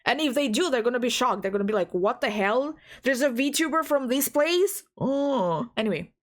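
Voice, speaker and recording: Young woman w a slight albanian accent, stuffy nose, high bouncy voice, flustered and giggly talking to herself at her mic. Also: lively intonation, moderate pace. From Balkans, Southeastern Europe. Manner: frazzled, scolds herself, giggly, restarts lines. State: blocked nose. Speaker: VTuber. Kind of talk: casual self-talk between recording takes. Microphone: home recording mic.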